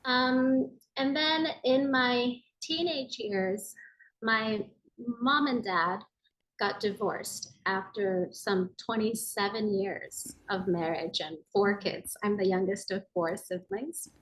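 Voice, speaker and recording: A woman's voice: mid-range voice, slow pace, poor audio. Woman w/ american accent, guest on an interview show, earnest, thoughtful, little hesitations. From Japan. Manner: earnest, reflective, with soft hesitations. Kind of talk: personal storytelling interview.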